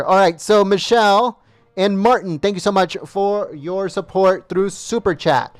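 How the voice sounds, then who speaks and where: A man with a high, smooth voice hosts a livestream, reading off viewer names one after another and thanking each the same way. His voice is wearing thin, and he trips now and then over names. The sound is dry and close to the mic. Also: no room sound. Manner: repetitive, reads names with small stumbles. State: losing his voice. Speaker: livestream host.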